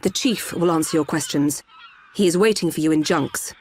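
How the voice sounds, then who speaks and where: A calm female doctor of a mechanical people , played by an actress, speaks in a fairly low voice. Her manner is formal and exact, like a video game cutscene. Faint ambient noise sits behind her. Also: lightly acted. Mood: composed, firm. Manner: measured, formal, precise wording.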